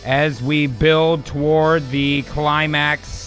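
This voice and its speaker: Unhurried higher-pitched man narrating radio drama. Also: flat delivery, fairly bright tone, soft background music. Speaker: narrator and co-host. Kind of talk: improvised radio-drama narration with casual banter.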